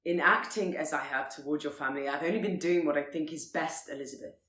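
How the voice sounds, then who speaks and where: A woman voicing a proud, reserved gentleman in a period romance audiobook, speaking formally and with restraint. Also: deep voice, fast, echoey room. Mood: stern, clipped. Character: proud, stiff, reserved gentleman, earnestly courting his betrothed.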